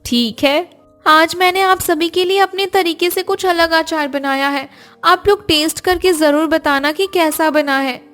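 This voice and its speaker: Woman voicing a high-pitched, polite cartoon housewife. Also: measured pace, a bit bright, soft background music. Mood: curious, eager. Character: earnest, polite young housewife in a family cartoon. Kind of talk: Hindi moral cartoon story acting.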